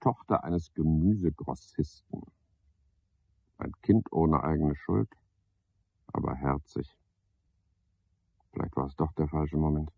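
An actor in a radio play voicing a cynical, self-satisfied, talkative night caretaker, with a pompous, sardonic, theatrical manner. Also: male voice, rumbling low, takes it slowly, creaky, long pauses, husky, dry recording, exaggerated voice. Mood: sardonic, wistful. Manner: pompous, sardonic, theatrical one-sided conversation. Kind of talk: 1970s German radio play monologue. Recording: slightly dull 1970s radio recording.

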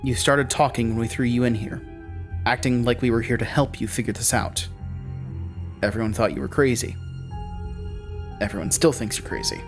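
Male actor as driven interrogator, man, mid-pitched voice, stern, deliberate, audio drama, music underneath. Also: steady tone, natural voice. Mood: measured, probing.

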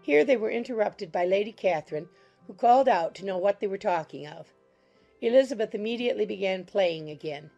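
A woman narrating an audiobook in a measured, formal reading style. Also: mid-range voice, expressive, creaky, dark tone, lo-fi sound, faint music behind.

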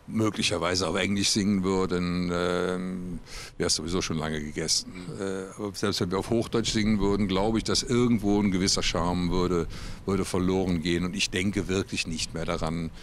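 A man, answering interview questions outdoors on a rooftop into a handheld mic, thoughtful and searching, with frequent pauses. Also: low voice, little pitch movement, slight background noise. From Cologne, Germany. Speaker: singer-songwriter.

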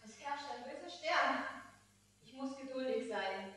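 A woman's voice: high voice, unhurried, pushed and bright. A woman playing a wronged, dignified, pleading queen on stage, speaking in a solemn, declamatory way. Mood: sad resolve. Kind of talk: stage acting of a classical tragedy. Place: on stage in an inn hall, dress rehearsal. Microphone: far camera. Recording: distant echoey theater hall, faint noise.

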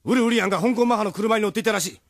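An actor playing a brisk, pragmatic, reassuring resistance comrade in an anime audio drama. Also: male voice, sits high. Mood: urgent. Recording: voice under music.

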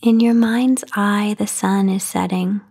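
A woman guiding a sleep meditation in a soft, breathy half-whisper, soothing and unhurried, with long pauses. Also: medium pitch, steady tone. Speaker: meditation guide. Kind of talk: guided sleep meditation narration, soft-spoken. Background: voice only, no music or background noise.

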